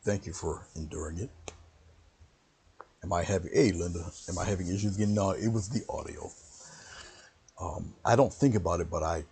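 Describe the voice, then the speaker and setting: A man with a very low voice giving a casual, rambling painting lesson on a livestream and greeting viewers by name. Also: normal speed, long pauses, a little mellow, husky. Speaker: painting instructor.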